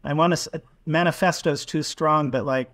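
A man's voice: on the high side, fairly even intonation, normal speed, fairly bright tone, no echo, clean studio sound. A man in his sixties hosting a video call interview, talking in a rambling, conversational way with asides and restarts. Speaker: podcast host. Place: home office with bookshelves. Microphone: video call webcam.

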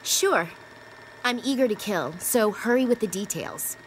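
A woman's voice: mid-range voice, animated, a little slow, close to an everyday voice, rough recording. An actress playing a young, lethal, self-assured girl assassin in a video game, blunt and devoted. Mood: cold, confident. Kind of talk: video game cutscene acting. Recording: game audio with faint ambient noise.

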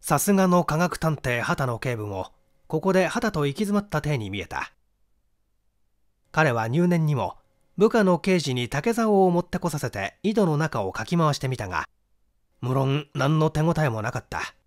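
Animated male mystery narrator. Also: fairly high pitch, moderate pace. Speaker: audiobook narrator and stage actor. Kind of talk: dramatic literary narration of a mystery novel.

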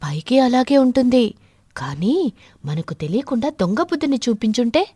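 A woman voicing a sly, thieving pigeon in an animated fable, insinuating and defensive while feigning innocence. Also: fairly high pitch, normal speed, breathy edge, dry recording.

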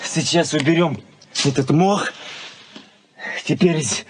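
An actor playing an earnest, stubborn young fiancé, speaking in intense short phrases in a radio play. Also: male voice, a little high, slow pace. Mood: determined. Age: young adult. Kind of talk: Soviet radio play acting. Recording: noisy 1980s radio play recording.

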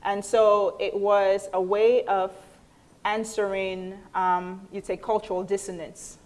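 A middle-aged woman lecturer giving a thoughtful spoken explanation to an audience, often pausing mid-phrase as she picks her words. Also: medium pitch, flat delivery, darkish tone, poor audio. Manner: thoughtful, halting, frequent pauses mid-phrase. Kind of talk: explanatory answer to an audience question. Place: indoor hall, speaking to an audience. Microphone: clip-on lapel mic.

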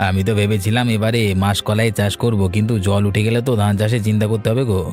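A man's voice: on the low side, little pitch movement, quick pace, a little mellow, close to an everyday voice. A man voicing an anxious, deferential younger village farmer in a rustic cartoon story. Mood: concerned. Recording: background music bed.

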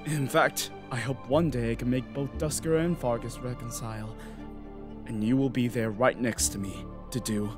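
Young prince, played by a man: sincere, gentle, medium-pitched, parody game dub with music underneath. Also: fairly even intonation, moderate pace, natural voice. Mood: earnest, apologetic. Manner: sincere, earnest.

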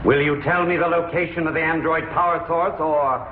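A man's voice: on the high side, fairly even intonation, normal speed, natural voice. A man playing a scheming, taunting outlaw villain in a 1950s television space opera, with theatrical delivery. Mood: menacing, taunting. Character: scheming male outlaw villain, menacing and smooth-tongued. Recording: muffled, noisy, echoey 1950s TV soundtrack.

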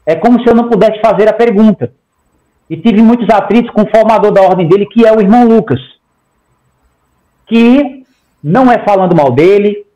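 Emphatic man with a high voice on a headset. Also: darkish tone, low-quality recording. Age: 40s. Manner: emphatic, gestures a lot, talks in long runs with repeated "tá?". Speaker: interview guest. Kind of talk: animated video-call interview. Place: home room. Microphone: headset on a video call.

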